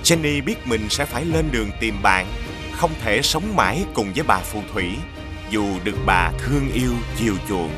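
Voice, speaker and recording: A man narrating an animated fairy tale as a scripted storyteller. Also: medium pitch, normal speed, over music, close to an everyday voice.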